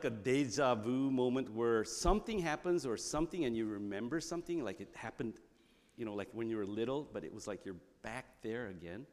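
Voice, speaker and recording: A man's voice: medium pitch, a little mellow, long reverb tail. A man in his sixties, Korean by birth and native tongue, gives a chatty church talk full of fillers and restarts. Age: 60s. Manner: conversational, many fillers and restarts. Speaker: guest speaker. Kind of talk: church talk telling a personal story.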